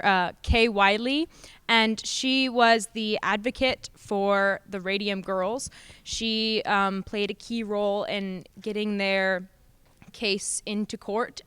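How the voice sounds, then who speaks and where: A woman's voice: medium pitch, fairly bright tone, smooth, dry recording. A teenage female student actor with an American accent, explaining things in an interview.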